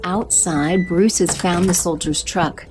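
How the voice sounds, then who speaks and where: A woman narrating an audio drama in a steady, descriptive way. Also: on the low side, takes it slowly, background noise. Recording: music bed under narration.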